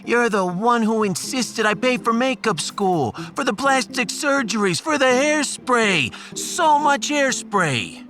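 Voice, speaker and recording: A man voicing a brassy, indignant working-class wife in a sci-fi audiobook, complaining in a sassy, drawn-out way. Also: extremely high pitch, very animated, a bit bright, exaggerated voice. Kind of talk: sci-fi audiobook character acting. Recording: music behind the voice.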